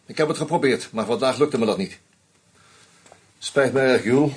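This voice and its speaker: A man's voice: mid-range voice, moderate pace, husky, natural voice. An actor playing Hans, a bitter, drunk man who narrates and sardonically confronts a murderer in a radio play. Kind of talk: first-person radio drama narration.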